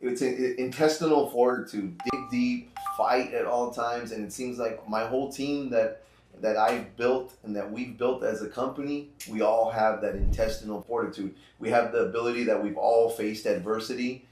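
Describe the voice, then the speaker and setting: A man's voice: mid-range voice, steady tone, normal speed, darkish tone, echoey room, a little hiss or hum, rough recording. A fifty-year-old man, a finance broker and interview guest, talking in an earnest, heartfelt way and often repeating his points. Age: 50s. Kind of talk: casual interview chat.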